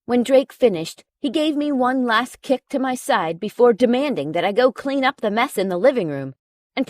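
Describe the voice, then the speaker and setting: A woman's voice: on the high side, no echo, studio quality. A woman reading a scripted audiobook as narrator, telling a story in the first person.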